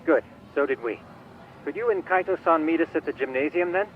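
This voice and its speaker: A man's voice: fairly high pitch, expressive, fairly quick, phone-quality sound, close to an everyday voice. A man playing a polite, eager, nerdy tech expert in a video game, speaking precisely and formally. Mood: brisk, reporting. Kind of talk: video game cutscene dialogue.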